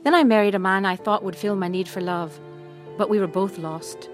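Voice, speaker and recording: A woman from Scotland reading her personal testimony in a plain, steady storytelling manner. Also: mid-range voice, normal speed. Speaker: testimony speaker. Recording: music bed behind the voice.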